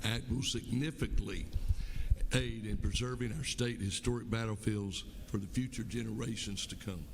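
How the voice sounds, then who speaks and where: A man legislator who is losing his voice, asking a slow, polite question from the floor. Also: on the low side, steady tone, gravelly, noisy background.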